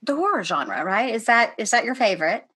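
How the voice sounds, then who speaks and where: A young woman hosting a horror interview over a video call, bubbly and animated. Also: on the high side, fairly quick, slightly rough. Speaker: host. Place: dim room with a red backdrop.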